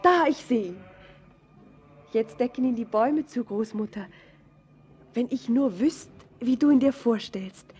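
An actress playing a dreamy, tender young miner's daughter in a German radio play, lyrical and often lapsing into whispers. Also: female voice, sits high, a little mellow, breathy edge, exaggerated voice. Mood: eager, excited.

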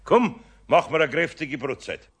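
A man's voice: fairly high pitch, big pitch swings, a little slow, full character voice. An actor playing a kindly, patient older master craftsman with a Bavarian accent and a homely, soothing manner in a radio play. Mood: patient, amused. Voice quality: gravelly, husky. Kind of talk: 1970s radio play acting.

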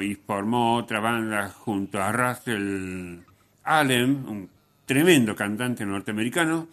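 A man with an Argentine accent hosting a radio show, talking in a blunt, informal, slangy way between opinion and rock introductions. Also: mid-range voice. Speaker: radio host.

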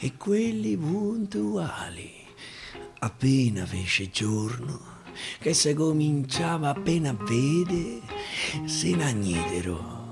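A man with a Roman accent performing dramatic dialect verse storytelling, with deliberate repetitions and pauses, over guitar. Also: medium pitch, creaky. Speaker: actor and storyteller. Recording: live guitar behind the voice.

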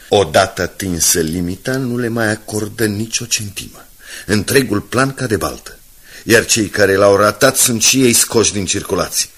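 Low, husky, sober newspaperman. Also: a bit bright. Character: Maitland, sober, shrewd senior newspaperman, steady adviser. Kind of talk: Romanian radio drama acting.

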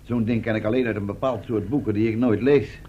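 An actor in a Dutch radio play playing a sensible, mildly indignant adventurer. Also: male voice, lowish voice, steady tone, fairly quick, dark tone, lightly acted. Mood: mildly put out. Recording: dull lo-fi old radio recording.

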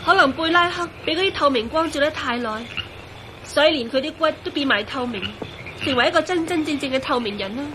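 A woman's voice: high voice, expressive, a little fast, sharp tone, slight background noise, rough recording. An actress playing an anxious, devoted young woman in a Cantonese radio drama. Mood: explaining. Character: anxious, devoted young woman turned transparent, quick to reason.

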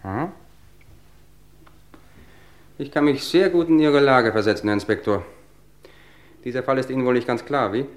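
A man's voice: mid-range voice, close to an everyday voice. Actor as suave, mocking male poisoner. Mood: smug, mocking. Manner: suave, mocking, measured, theatrically polite. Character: smug, cultivated male poisoner, coolly taunting police. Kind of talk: vintage German radio crime drama acting.

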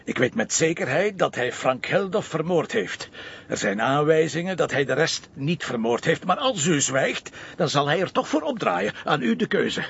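An actor playing a shrewd, probing middle-aged police commissioner with a Flemish accent, talking in a dry, insistent way. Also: male voice, animated, normal speed, vocal fry, fairly bright tone, slightly rough, exaggerated voice. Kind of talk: television crime drama. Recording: dull lo-fi TV audio with faint rumble.

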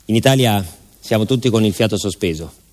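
A man giving solemn, measured, scripted documentary narration for radio theatre, with music behind him. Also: fairly low pitch, a little mellow. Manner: solemn, measured, deliberate phrasing. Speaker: actor-narrator.

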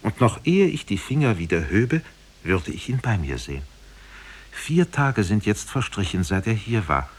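A man's voice: lowish voice, big pitch swings, normal speed, slightly rough, pitched above the performer's own voice. A man voicing a lonely captive princess who speaks formally and earnestly in a 1950s radio play. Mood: earnest, sad. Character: lonely captive young princess, courteous and sad. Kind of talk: 1950s German radio drama storytelling.

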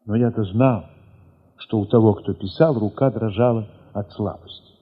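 A husky-voiced man narrates a literary audio drama with reflective, expressive phrasing and deliberate dramatic pauses. The recording is dull, with a long reverb trailing his words. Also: lowish voice. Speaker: narrator of an audio play, reading in the first person. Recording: muffled, long reverberant hall sound.

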